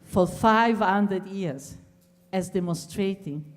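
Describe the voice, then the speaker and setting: A woman closing a lecture, earnest and measured, pausing on fillers. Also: medium pitch. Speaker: speaker at a talk. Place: large lecture hall. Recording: hall reverb from a distance.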